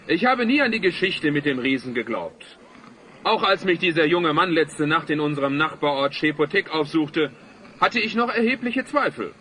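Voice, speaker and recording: A respected gentleman in an audio drama, reasonable and formal. The voice is rather high and forceful, with faint noise in the background. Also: full character voice, poor audio. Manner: measured, formal, emphatic. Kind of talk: German audio drama acting.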